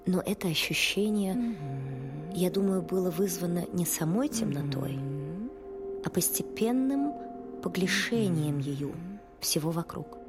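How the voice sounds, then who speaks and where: A woman playing a grave, introspective mathematician who recalls her life in a measured, hushed literary monologue. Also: on the low side, lively intonation. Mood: reflective, uneasy. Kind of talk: literary audio drama monologue. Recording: music under the voice. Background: music.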